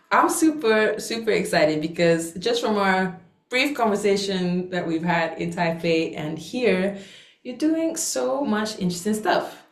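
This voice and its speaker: A woman's voice: on the low side, echoey room. A woman hosting a podcast, enthusiastic and eager, firing off question after question. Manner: enthusiastic, warm, asks many questions. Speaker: podcast host. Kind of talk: casual interview conversation.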